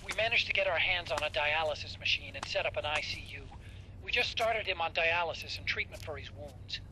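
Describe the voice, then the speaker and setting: A very bright, high male voice over faint background noise plays an earnest, anxious tech sidekick in a game cutscene. The tone is sharp and forceful. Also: a little fast, rough recording. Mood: reassuring. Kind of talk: video game cutscene acting. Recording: faint noise, vehicle-like ambience.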